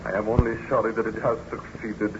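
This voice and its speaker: An actor playing a stern, appalled friend in old-time radio drama, grave, formal and reproachful, on an old radio recording. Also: male voice, lowish voice, little pitch movement, fairly quick, highs cut off, background noise, natural voice.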